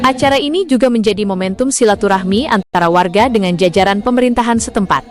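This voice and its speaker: A woman reading a scripted news voice-over in a smooth, even way. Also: medium pitch, fast. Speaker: news narrator. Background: background music.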